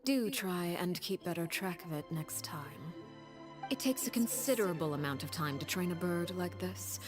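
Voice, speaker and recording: A cold, measured matriarch who threatens softly and never raises her voice, as voiced by a woman. Her tone sits low and even, with faint music playing behind her. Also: lightly acted. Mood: menacing. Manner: calm, measured, quietly menacing. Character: cold, poised woman leading a ruthless house. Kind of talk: video game voice acting.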